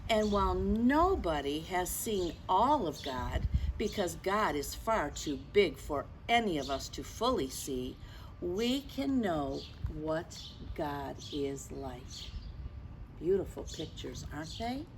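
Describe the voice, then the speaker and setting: A woman leading a children's story time, speaking deliberately and expressively, as if straight to young listeners. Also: fairly low pitch, sing-song, slight background noise, poor audio. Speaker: children's storyteller and religious educator. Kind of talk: children's story time, read-aloud and simple faith talk.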